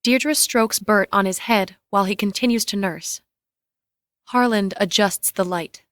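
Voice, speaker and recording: A woman narrates a script scene by scene, reading the directions plainly and in clipped phrases. Her pitch is medium. The sound is close and dry. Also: a little slow, a bit bright, no room sound. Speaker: reader of stage directions.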